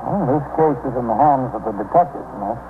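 A man playing a matter-of-fact police captain who narrates in a formal, measured way in a 1950s radio drama. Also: mid-range voice, lively intonation, close to an everyday voice. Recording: noisy, narrow 1950s radio broadcast.

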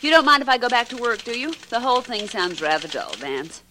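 An actress playing a pert, teasing American secretary to a detective in a 1940s radio drama. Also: female voice, on the high side, lively intonation, fairly quick, sharp tone, a little hiss or hum. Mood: curious, unimpressed. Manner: sassy, bantering.

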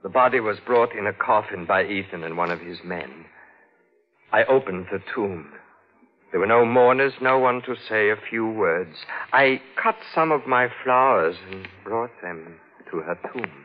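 An actor playing a haunted, fragile gardener with an overwrought, halting delivery in a 1940s radio drama. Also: male voice, lowish voice, close to an everyday voice. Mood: hushed, mournful. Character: haunted, fragile gardener widower confessing to madness and killing. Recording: dull old radio broadcast recording.

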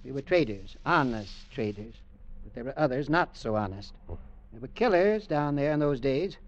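An actor playing a cold, calculating older uncle, dry and condescending, in a mid-century radio drama. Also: male voice, medium pitch, big pitch swings, normal speed, lightly acted. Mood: cool, calculating. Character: cold, calculating older uncle, a former South Seas trader. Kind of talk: 1940s radio drama acting. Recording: muffled old radio recording.

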